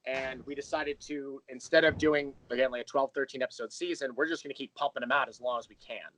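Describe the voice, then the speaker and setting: A man's voice: mid-range voice, steady tone, quick pace, fairly bright tone, rough recording. A man speaking as an interview guest, explaining things in detail in a conversational way. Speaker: interview guest, audio drama creator.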